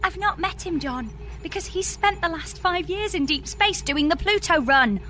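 A woman's voice: extremely high pitch, animated, moderate pace, sharp tone, a little hiss or hum, full character voice. A woman playing an exasperated, pleading space engineer with a dry, emphatic manner in a comedy audio drama. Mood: panicked, exasperated.

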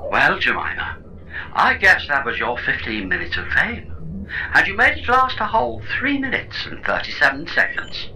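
A man's voice: on the high side, wildly swooping pitch, moderate pace, sharp tone, a little hiss or hum, full character voice, low-quality recording. A man playing a chatty, smug robot pod sidekick in a comedy audio drama, explaining things with wry, wordy theatrical flourishes.

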